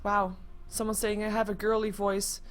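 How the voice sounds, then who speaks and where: A woman casting an esports match live in a casual, chatty, expressive way. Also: medium pitch, moderate pace. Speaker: esports commentator.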